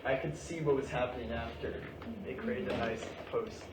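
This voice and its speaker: A man's voice: medium pitch, moderate pace, over a noisy crowd. A man giving tentative, casually phrased feedback in a group discussion. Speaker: audience member giving feedback. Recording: very noisy, echoey room from far off.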